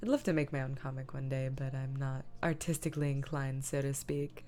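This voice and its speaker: A woman hosting a livestream, casually talking through her computer work and half muttering to herself, with soft music behind her. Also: rumbling low, a little fast. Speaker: livestream host. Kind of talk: casual livestream chat narrating image editing. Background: lo-fi music, computer keyboard typing.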